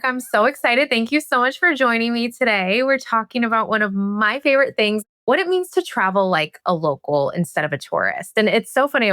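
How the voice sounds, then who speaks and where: A woman's voice: mid-range voice, pushed and bright, no room sound. Woman hosting, dry close sound. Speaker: podcast host, interviewer. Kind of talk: casual conversational interviewing.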